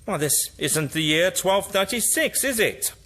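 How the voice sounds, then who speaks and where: A man's voice: sits high, animated, unhurried, sharp tone, heavily acted. An actor playing a polite, flustered, eccentric male adventurer in an audio drama, fussy and courteous in his protests.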